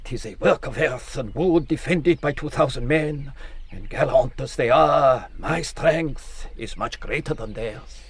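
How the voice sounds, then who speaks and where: An actor playing a courteous, shrewd French general, formal and persuasive with quiet menace, in a period radio drama. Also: male voice, medium pitch, a little slow, slightly rough. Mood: confident, pressing.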